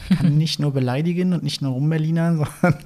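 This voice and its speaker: A young man with a Berlin accent co-hosting a casual podcast, relaxed and chatty, with lots of fillers and little chuckles. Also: a little high, fast. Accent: Berlin German. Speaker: podcast co-host. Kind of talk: casual couple podcast chat.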